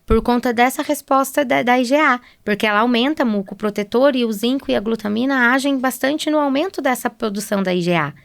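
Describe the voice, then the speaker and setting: A woman's voice: a little high, brisk, creaky, hardly any pauses. A Brazilian woman speaking as a guest nutritionist on a podcast, explaining things conversationally and often adding small check-in tags. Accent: Brazilian Portuguese.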